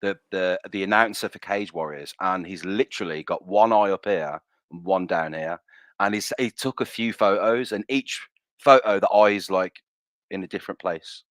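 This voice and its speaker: A man hosting his own stream, relaxed and casual, filling pauses with ums and yeahs. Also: low-pitched, no room sound. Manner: laid-back, lots of ums and yeahs. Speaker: streamer host. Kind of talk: casual chat.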